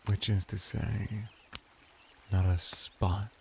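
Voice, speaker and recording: Man playing a slow, gravelly, seductive merman. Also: low voice, level tone, a little mellow, a little hiss or hum, full character voice. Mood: courteous, attentive. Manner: slow, intimate, formal wording with lingering pauses. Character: flirty, courteous, seductive merman bath attendant. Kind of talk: ASMR roleplay.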